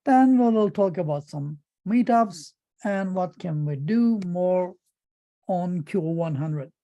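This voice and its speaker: A middle-aged man with a Turkish accent gives an informal presentation in halting English, often repeating words as he searches for phrasing. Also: high-pitched, lively intonation, dark tone. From Turkey.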